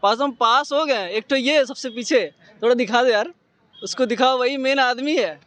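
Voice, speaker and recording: Young guy outside, high voice, joking around, keeps going "get it?". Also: normal speed, a bit bright, dry recording. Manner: jokey, casual, keeps asking "you get it?". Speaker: student.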